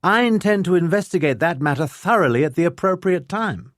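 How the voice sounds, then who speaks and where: A man narrating a story, putting on the voice of a forceful, sharp-tongued young noblewoman who lectures crisply. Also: high-pitched, animated, brisk, dry recording, clean studio sound, pitched above the performer's own voice.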